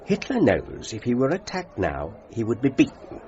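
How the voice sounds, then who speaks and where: Urbane British ambassador, upper-class English, highly animated intonation, dryly understated, radio play, muffled top end. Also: male voice, moderate pace. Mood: grave, frank. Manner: polite, hesitant, understated. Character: genteel, urbane British ambassador, wry and frank. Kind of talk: radio drama acting.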